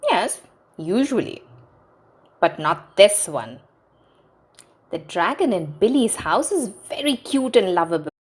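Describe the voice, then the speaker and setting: Female storyteller for kids, very animated pitch, slow and clear read-aloud delivery. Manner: expressive, sing-song storytelling to children. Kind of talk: reading a children's picture book aloud.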